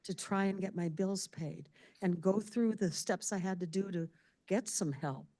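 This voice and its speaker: A woman in the audience making a candid comment as a caregiver, speaking loudly. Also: on the low side, a little slow, dry recording. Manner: loud, candid.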